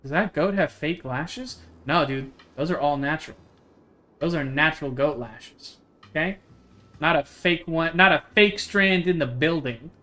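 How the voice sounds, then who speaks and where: A man's voice: a little high, a little slow, bright, forceful tone. A man livestreaming a game, chatting casually in a jokey, slangy way and reading its text aloud. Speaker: game streamer.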